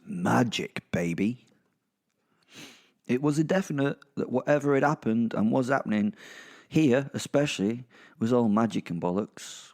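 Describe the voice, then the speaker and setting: A man's voice: on the low side, darkish tone. A man with a British accent narrating a horror audio drama in the first person, chatty and wry with sweary asides. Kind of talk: first-person conversational storytelling.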